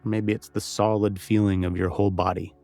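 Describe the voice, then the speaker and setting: A man leading a guided meditation, giving gentle, conversational instructions with pauses. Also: on the low side, level tone, soft background music.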